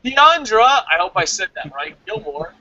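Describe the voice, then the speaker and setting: A man casually co-hosting a review show, reading out comments and answering them in a blunt, animated, sweary way. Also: high-pitched, normal speed, no room sound, muffled recording. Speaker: co-host of a wrestling review show.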